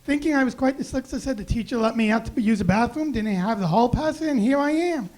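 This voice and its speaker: A young man with a high, raspy voice doing a self-deprecating deadpan stand-up set on a club stage mic. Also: brisk. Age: young adult. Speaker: stand-up comedian. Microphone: handheld stage mic.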